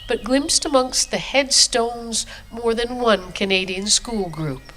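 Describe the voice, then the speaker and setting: A woman news reporter giving scripted narration in a steady, solemn way. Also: on the high side, slow, slight background noise, low-quality recording.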